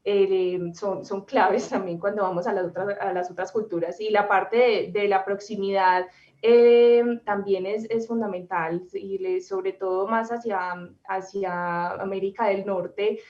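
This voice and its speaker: A woman's voice: mid-range voice, fairly even intonation, measured pace, darkish tone, far from the mic. Roomy-sounding woman host from Colombia. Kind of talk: hosting a conversation. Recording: roomy audio from away from the mic, muffled.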